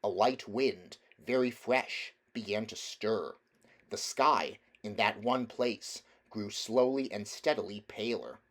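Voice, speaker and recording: A man reading a children's novel aloud as a narrator, in a measured, deliberate storytelling manner. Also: lowish voice, fairly bright tone. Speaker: audiobook reader.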